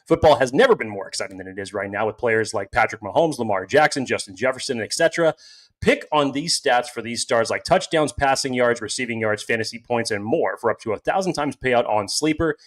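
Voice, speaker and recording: A man's voice: medium pitch, animated, brisk. A man co-hosting a casual sports podcast, talking with hype-style energy and doing ad reads over a remote call. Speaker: podcast co-host.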